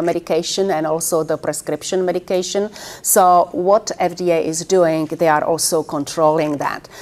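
Low-voiced Czech-accented woman lecturing. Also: a little mellow. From Czech Republic. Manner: halting, many fillers, gestures while talking. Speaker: university lecturer. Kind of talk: classroom lecture. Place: lecture classroom with students.

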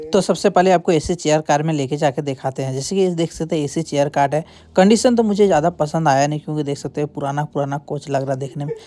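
A man in his thirties making a casual travel vlog, chatting and explaining as he films himself with a phone at arm's length on railway platforms and inside a train. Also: on the high side. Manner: chatty, explains as he films, mixes in English words. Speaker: travel vlogger. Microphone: phone held at arm's length. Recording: loud crowd and train noise on a phone. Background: crowd noise and train sounds.

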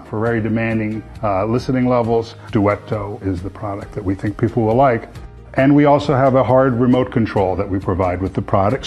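A man in his sixties with a fairly low voice presents a product he created. He explains it in a measured, semi-scripted way, speaking to camera over guitar music. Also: darkish tone. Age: 60s. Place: living room with sofas, indoors.

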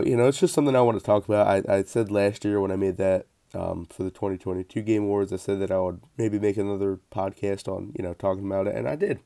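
A man's voice: lowish voice, vocal fry, a little mellow. A young man hosting a solo gaming podcast while sick and stuffy, rambling casually with lots of fillers and restarts. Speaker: podcast host.

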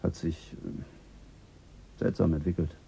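An actor playing a measured mission official explaining a space voyage in a German radio drama, with a husky voice. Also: male voice, deep voice, little pitch movement, dark tone, muffled recording, exaggerated voice. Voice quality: husky, gravelly.

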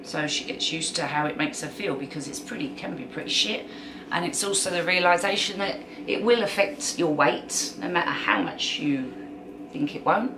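A woman's voice: low-pitched, moderate pace, reverberant, background noise. A middle-aged woman with a London accent, chatting bluntly and dryly as a guest on her daughter's vlog in a small bedroom. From London, England. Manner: blunt, dry and joking. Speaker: YouTuber's mother, guest. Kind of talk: casual chat.

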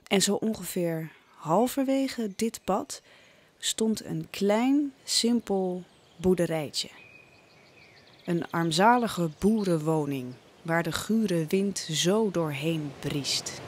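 A woman's voice: on the low side, slow, a little hiss or hum. A woman narrating a scripted audio drama as a dramatic storyteller.

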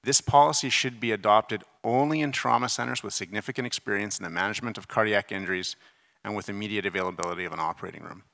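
A man's voice: on the low side, quick pace, gravelly, a bit bright. A middle-aged man presenting at a conference podium, explaining in a conversational, emphatic way with casual asides. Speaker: surgeon giving conference debate presentation. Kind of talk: conference lecture with slides. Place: large conference hall, at a podium. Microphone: podium microphone. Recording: reverberant conference hall PA.

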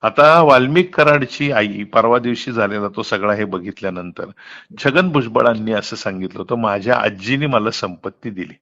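A man's voice: on the low side, moderate pace, fairly bright tone, muffled recording. A middle-aged man explaining things emphatically and asking rhetorical questions in a candid political phone conversation, recorded off the call. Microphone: telephone.

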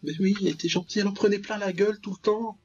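A man's voice: high voice, fairly even intonation, rapid-fire, darkish tone, lo-fi sound, soft background music. A young man giving casual, slangy let's play commentary, with mock-dramatic, exclamatory reactions. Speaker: gaming video host.

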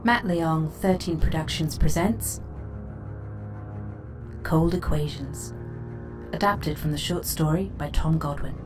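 A woman's voice: sits low, slow pace, pauses often, music in the background. A woman announcer reading scripted titles for an audio drama.

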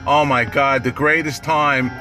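Raspy middle-aged man chatting from his car. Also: mid-range voice, steady tone, measured pace, music in the background. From New York. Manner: loose, profane, chatty, laughing often. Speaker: livestream host. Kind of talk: casual livestream chat. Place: parked car. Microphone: phone at arm's length.

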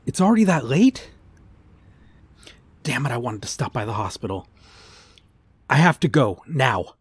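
A man acts out a devoted detective weighed down by guilt, in a dramatic ASMR audio roleplay. The mid-pitched male voice is earnest and brooding. The delivery is halting, with thoughts set out piece by piece. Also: big pitch swings, breathy edge, no room sound. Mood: alarmed. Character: guilt-ridden, devoted male detective hunting a serial killer.

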